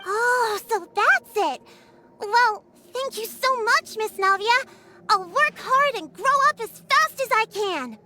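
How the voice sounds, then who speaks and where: Very high-pitched, eager little child. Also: female voice, lively intonation, slow, heavy background noise, full character voice. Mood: excited, admiring. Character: eager, admiring little child, sweet and excitable. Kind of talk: video game voice acting. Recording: music loud behind voice. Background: playful music.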